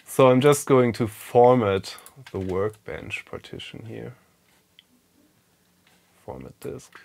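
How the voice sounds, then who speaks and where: A man's voice: medium pitch, takes it slowly, vocal fry, a little mellow. A German man, native German speaker, hosting a casual retro computer walkthrough, thinking aloud with many fillers. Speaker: retro computing video host. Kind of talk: casual hands-on tech walkthrough.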